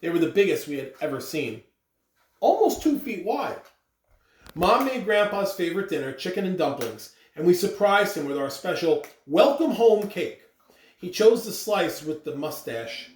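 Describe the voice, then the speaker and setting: A person reading a children's storybook aloud with expressive, animated delivery. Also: medium pitch, normal speed. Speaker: school staff member reading aloud. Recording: roomy, distant mic with clear background noise.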